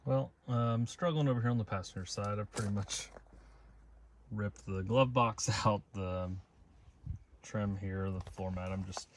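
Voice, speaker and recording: A man's voice: lowish voice, a little slow, a little hiss or hum. A man giving a casual, unscripted how-to as a DIY vlogger, thinking aloud as he goes. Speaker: DIY vlogger installing a car radio.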